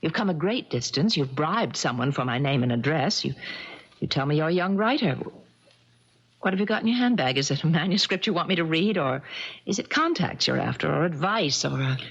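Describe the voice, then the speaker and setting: An actress playing a haughty, well-off successful woman novelist in a radio mystery drama, with a husky voice and a poised, indignant manner. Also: sits low, expressive, brisk, full character voice. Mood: suspicious, curt. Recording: dull lo-fi old radio broadcast.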